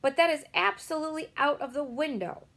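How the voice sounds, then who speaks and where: A woman voicing a big, kindly male giant who talks in slow, muddled grammar. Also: sits high, creaky, a bit bright, voice pushed up. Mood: earnest, rueful. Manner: mangled grammar, slow and emphatic.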